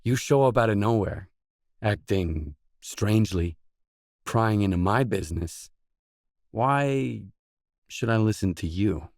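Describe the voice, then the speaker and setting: An actor voicing a gruff, guarded stalker mercenary in a video game, blunt, terse and no-nonsense. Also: male voice, on the low side, lively intonation, takes it slowly, pauses often, no room sound, studio quality. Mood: irritated, suspicious. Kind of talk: video game dialogue lines.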